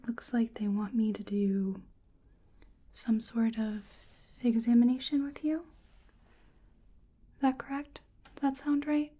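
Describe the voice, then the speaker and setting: Soft, breathy woman murmuring slowly. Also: on the high side. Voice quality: soft, breathy, often whispery. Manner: hesitant, murmuring to an unseen listener. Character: bewildered, polite female researcher examining a creature. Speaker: ASMR roleplay performer. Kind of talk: soft-spoken ASMR roleplay.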